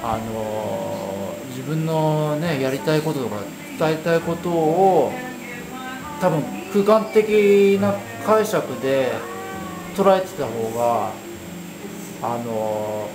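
A woman in casual, reflective conversation as an artist, halting as she thinks aloud and murmuring agreement. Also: low voice, lively intonation. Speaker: exhibiting artist. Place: small indoor cafe room. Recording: roomy sound with music behind.

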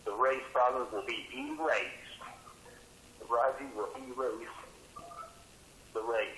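A man leaving a rambling, grandiose voicemail, halting and repeating himself in disjointed phrases. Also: medium pitch, fairly even intonation, fairly bright tone. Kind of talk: rambling phone message monologue. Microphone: telephone voicemail, played back. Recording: hissy, echoey voicemail over phone.

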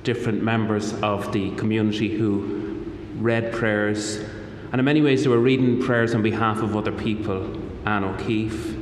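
Irish bishop in an echoey chapel. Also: male voice, fairly low pitch, fairly even intonation, moderate pace, few pauses. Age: middle-aged. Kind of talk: liturgical address and blessing. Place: hospital chapel. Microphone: microphone on stand at lectern. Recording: echoey chapel with long reverb, noisy.

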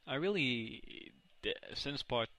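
Bright-voiced Dutch gamer, excitable. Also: male voice, medium pitch, takes it slowly. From Netherlands. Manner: excitable, self-correcting, reacts loudly to plays. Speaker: gaming commentator. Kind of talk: casual let's play commentary.

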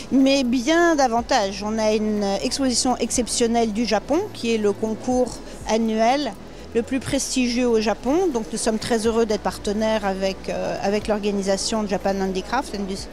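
A woman's voice: fairly high pitch, a little mellow, a little hiss or hum. A middle-aged woman, the show's organizer, giving a welcome address to the camera in an exhibition hall, with some hesitations. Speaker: show organizer. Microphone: handheld mic.

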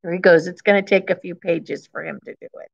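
A woman's voice: fairly low pitch, steady tone, quick pace, vocal fry, husky, muffled recording. A woman in her fifties reads a picture book aloud to young children, adding simple explanations and repeating key phrases as a teacher does. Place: indoors, school classroom.

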